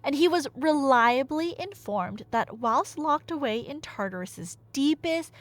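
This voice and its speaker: A woman's voice: sits high, a little slow, no room sound. A woman telling myths in a casual, rambling roleplay style, nerdy and slangy, often cutting in on herself with asides. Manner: nerdy, slangy, animated, self-interrupting asides. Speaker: audio roleplay performer and storyteller. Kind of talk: casual, rambling comic myth retelling in audio roleplay.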